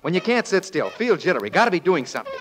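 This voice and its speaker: An actor playing a polite, earnest young sailor with an American accent and folksy manner, in 1940s radio comedy. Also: male voice, sits high, sing-song, rapid-fire. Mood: enthusiastic. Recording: slightly noisy old radio recording.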